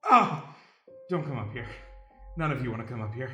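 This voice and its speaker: A man's voice: medium pitch, animated, pushed and bright. A man playing a flustered, squeamish, rambling hotel guest in an improvised comedy radio play. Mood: uneasy. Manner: flustered, halting. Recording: roomy echo, music behind.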